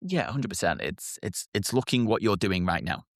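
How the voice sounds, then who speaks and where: A man's voice: lowish voice, expressive, normal speed, no room sound, clean studio sound. A man with a British accent, a marketing agency co-founder, answering interview questions directly and confidently.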